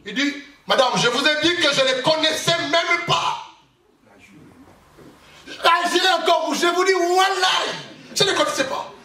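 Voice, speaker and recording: A man's voice: very high-pitched, unhurried, sharp tone, exaggerated voice. A man voicing the apostle Peter as a flustered man who insists he never knew Jesus. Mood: insistent. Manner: insistent, repeated denials. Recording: echoey hall, far from mic.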